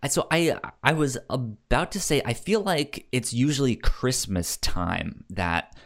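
A man co-hosting a casual film discussion podcast, speaking haltingly and often stammering over and repeating words. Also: mid-range voice, animated, a bit bright. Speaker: podcast host.

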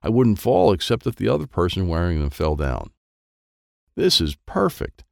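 Man acting a small boy narrator, storybook, wide-eyed, excitable and wistful, very lively intonation, gravelly creak. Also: sits low, moderate pace, no echo, studio quality, exaggerated voice. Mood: eager, wistful. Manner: simple, wide-eyed, childlike phrasing. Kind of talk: first-person present-tense children's storybook narration.

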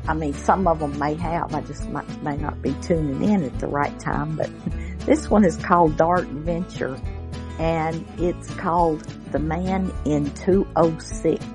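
A woman's voice: low-pitched, measured pace, music in the background. A woman hosting a radio show with casual, hesitant, rambling unscripted introductions.

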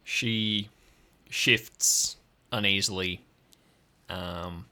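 A man's voice: sits low, steady tone, drawn-out words, pushed and bright. A man narrating scenes in an improvised audio drama as game master.

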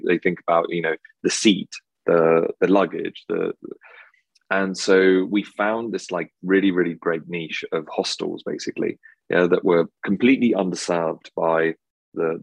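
Deep husky guy with an english accent, talks in long rambling runs full of "basically", dry close sound. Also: dry recording, low-quality recording. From Czech Republic. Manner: rambling, heavy filler words like "basically" and "you know". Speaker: hospitality tech founder, guest. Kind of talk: podcast interview answers.

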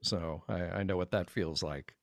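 American guy with a lowish voice chatting on a podcast, thoughtful and kinda rambly, really dry sound. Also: steady tone, moderate pace, vocal fry, no room sound. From Birmingham, Alabama, United States. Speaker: podcast guest. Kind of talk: casual podcast discussion.

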